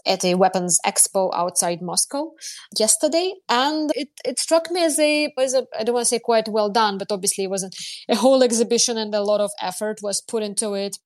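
A woman working as a news correspondent, giving a spoken report in a conversational way. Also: mid-range voice.

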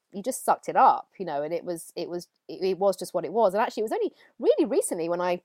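A woman's voice: mid-range voice, dry recording, clean studio sound. A woman chatting as a guest, earnest and hesitant, with many ums. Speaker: TV presenter, podcast guest. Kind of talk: casual chat.

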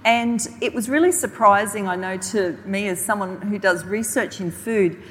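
A woman's voice: mid-range voice, moderate pace. A woman with an Australian accent from southeast Queensland, addressing a public panel event as its chair. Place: indoor hall on a stage. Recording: echoey hall, audible room noise.

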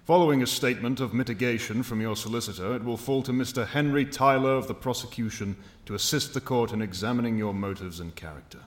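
A man's voice: medium pitch, fast, few pauses, reverberant, natural voice. A man playing a stern, authoritative judge in an audio drama, speaking formally and with command. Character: stern, authoritative male judge presiding over court.

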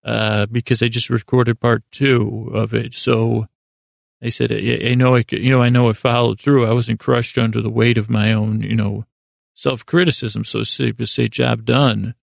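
A man with a heavily creaky, gravelly voice at a medium pitch, recorded very dry. He hosts a sleep podcast, meandering hesitantly through tangents and self-deprecating asides. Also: little pitch movement, moderate pace, no echo. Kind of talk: rambling, tangential podcast monologue.

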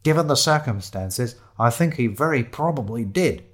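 A man's voice: medium pitch, expressive, normal speed, natural voice. A man voicing a haughty, commanding homeless man with a pompous, wordy, formal manner in an audio story. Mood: stern, reasoning. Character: Shiloh, indignant haughty man sleeping rough, commanding.

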